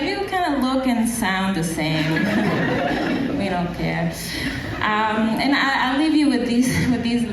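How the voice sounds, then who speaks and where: A woman's voice: on the high side, background noise. A young woman from El Salvador doing a stand-up set on a live stage, with dry, self-deprecating delivery and frequent fillers and restarts. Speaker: stand-up comedian. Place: live stage before an audience. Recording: echoey live room, distant mic, audible audience.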